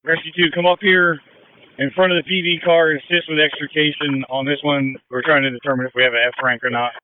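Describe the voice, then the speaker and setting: A man's voice: a little high, level tone, quick pace, slight background noise. A man acting as fire incident commander, giving businesslike orders over a two-way radio. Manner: directive, businesslike. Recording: narrow muffled fire radio transmission.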